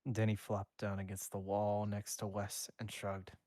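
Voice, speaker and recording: Slow, low-voiced man reading aloud. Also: monotone, dark tone, dry recording, studio quality. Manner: halting reading with frequent self-corrections. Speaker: streamer reading a fanfic aloud. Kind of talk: fanfic narration, read live.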